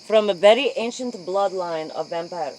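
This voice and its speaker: A woman playing a solemn late-night interviewer, speaking formally and haltingly, often repeating herself. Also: on the low side, a little mellow, slight background noise, lightly acted, rough recording. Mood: earnest. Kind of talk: improvised spoof interview in character.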